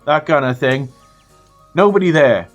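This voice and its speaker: A British man telling a funny story to his viewers in a casual, animated way, swearing freely. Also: fairly high pitch, a little slow, lo-fi sound, soft background music. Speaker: storytelling vlogger.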